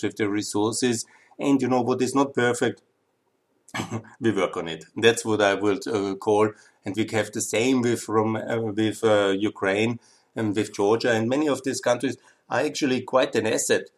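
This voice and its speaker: Earnest Austrian-accented man. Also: on the low side. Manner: earnest, appealing, repetitive emphasis. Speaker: podcast host. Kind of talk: impassioned political monologue.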